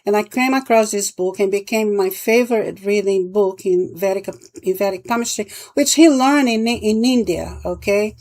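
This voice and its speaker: A woman from Brazil with a Brazilian accent and Portuguese as her first language, giving a casual, halting explanation as a vlogger. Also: mid-range voice, a little mellow. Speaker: palmistry vlogger and teacher.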